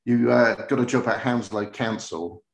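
A man's voice: mid-range voice, moderate pace, vocal fry. A man hosting a podcast interview in a casual, jokey and rambling conversational way. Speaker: podcast host. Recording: roomy, echoey sound.

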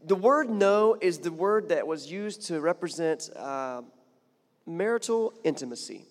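A man's voice: on the high side, animated, a little slow, in a large hall. A man preaching a sermon in a chatty, conversational way, building to emphatic points and often repeating words. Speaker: pastor.

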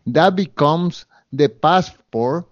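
A man's voice: fairly high pitch, slow, no room sound, lo-fi sound. A man with a Spanish accent, a guest in an interview, talking earnestly with many fillers and restarts. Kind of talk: interview conversation.

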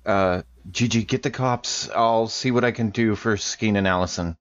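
A man's voice: fairly low pitch, normal speed, dry recording. A man talking casually as a tabletop game player, tentative and thinking aloud. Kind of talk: casual in-character game chat. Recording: dull, lo-fi microphone.

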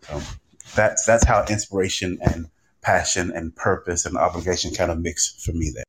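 A man podcaster giving a reflective panel answer in an earnest, deliberate, emphatic way. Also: low-pitched, steady tone, fairly bright tone, a little hiss or hum, poor audio.

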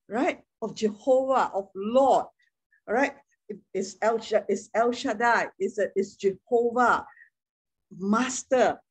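Woman, Bible teacher, husky breathy voice, mid-pitched, keeps asking "okay?" and "right?", chuckles a lot. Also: darkish tone. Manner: checks in with frequent "okay?" and "right?"; chuckles often between points. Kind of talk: teaching sermon.

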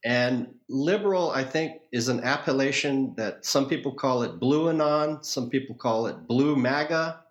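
A man's voice: mid-range voice, normal speed, few pauses, highs cut off. Echoey, wordy American man. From Hawaii, United States. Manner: wordy, erudite, wry. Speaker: podcast guest. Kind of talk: conversational interview, opinionated storytelling.

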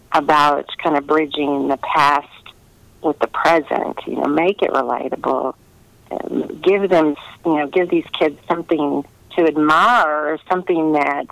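A middle-aged woman giving earnest, conversational interview answers, pausing often to find her words over a remote line. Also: sits low, creaky, no room sound, rough recording. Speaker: interviewed historical novelist.

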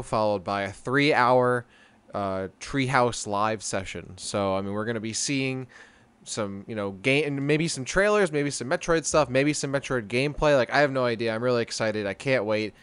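A man's voice: mid-range voice, a bit bright, smooth, no room sound. A man in his late twenties co-hosting a gaming podcast, chatty and upbeat. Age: 20s. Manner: chatty and upbeat, quick to laugh. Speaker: podcast co-host. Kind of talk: casual gaming podcast chat.

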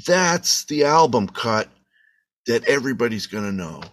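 A man playing an anxious, self-doubting musician in an improvised scene, speaking hesitantly and repeating himself in a gravelly, husky voice. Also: mid-range voice, very animated, moderate pace. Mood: reassuring, earnest. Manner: hesitant, repetitive muttering and sighing. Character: anxious, self-doubting male musician steadying his bandmate. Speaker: actor in an improvised theater scene. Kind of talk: improvised monologue and dialogue acting.

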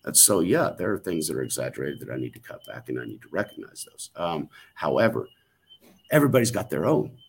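A middle-aged man with a very low voice talks in a loose, unscripted way. He is blunt and curses often, doubling back on his words as he goes. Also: big pitch swings, quick pace, vocal fry, slight background noise. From United States. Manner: blunt, frequent swearing, restarts. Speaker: podcast host. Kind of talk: casual chat.

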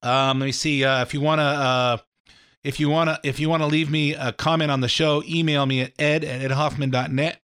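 A middle-aged man hosts his own talk show, his voice slightly scratchy from a seasonal cold. He rambles casually and restarts sentences often, sitting a little high in pitch. The sound is dry and close, with no room around it. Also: steady tone, brisk, a bit bright, clean tone, no echo. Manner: rambling, folksy, lots of fillers and restarts. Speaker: radio show host and mortgage lender. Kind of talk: casual political talk radio monologue.

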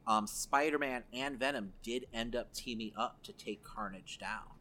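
A man's voice: medium pitch, normal speed, sharp tone. A man co-hosting a podcast, chatting casually through entertainment news. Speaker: podcast co-host.

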